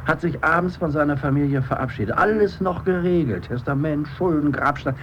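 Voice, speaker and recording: An actor playing an impatient, excitable mourner in a naturalistic radio play, speaking emphatically. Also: male voice, a little high, a little fast, lightly acted. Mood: excited, insistent. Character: impatient, excitable male mourner waiting at a funeral. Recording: muffled, clearly noisy 1970s radio recording.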